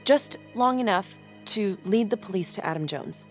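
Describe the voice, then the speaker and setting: An actress playing an earnest, principled prosecutor in a video game, speaking in a measured, serious way. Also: female voice, medium pitch, lively intonation, faint music behind, natural voice. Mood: respectful, earnest. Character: earnest, conscientious female prosecutor, weary but principled. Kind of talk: video game cinematic acting.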